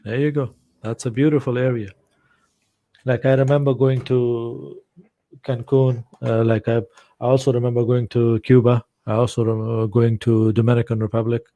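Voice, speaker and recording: Mellow-voiced man co-hosting a livestream. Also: mid-range voice, moderate pace, no room sound, highs cut off. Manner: relaxed, agreeing, reads chat aloud. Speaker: livestream co-host. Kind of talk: casual livestream chat.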